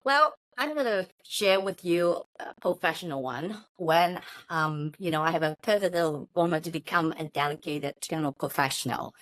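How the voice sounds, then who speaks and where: A woman with a Hong Kong Chinese accent speaks as an interview guest, telling stories about herself. Her voice sits a little low for a woman, and the sound is dry and close, with very little room in it. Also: moderate pace, few pauses, fairly bright tone, no echo, rough recording. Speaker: interview guest, technology company chief of staff. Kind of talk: interview answers, personal storytelling.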